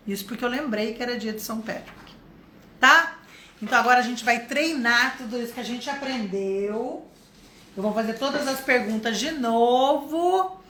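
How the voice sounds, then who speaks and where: A Brazilian woman teaching English in a live online lesson, lively and encouraging, explaining step by step. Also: a little high, echoey room, slight background noise. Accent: Brazilian Portuguese.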